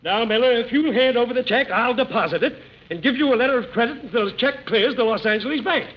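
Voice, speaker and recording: An actor playing a blustering, self-important hotel manager in a 1940s radio comedy, bossy and pompous. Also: male voice, very high-pitched, quick pace. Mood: officious. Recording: dull 1940s radio broadcast.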